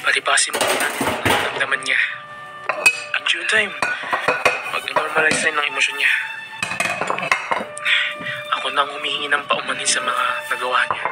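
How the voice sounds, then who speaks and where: A man's voice: medium pitch, flat delivery, normal speed, pauses often, very noisy, close to an everyday voice. A man with a Filipino accent playing a responsible elder brother, earnest and admonishing, in a radio drama. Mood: calm, apologetic. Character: adult elder brother, responsible, pleading peacemaker of the household. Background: loud dramatic music.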